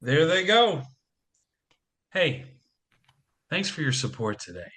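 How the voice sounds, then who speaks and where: An actor playing a harried single dad in an audio play, joking and self-mocking in a theatrical manner. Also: male voice, a little high, sing-song, slow, pushed and bright, full character voice. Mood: playful.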